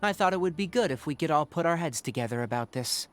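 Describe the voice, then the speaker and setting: Earnest worried young tutor in a video game, voice on the high side, really animated, music behind. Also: male voice, quick pace. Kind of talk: video game dialogue acting.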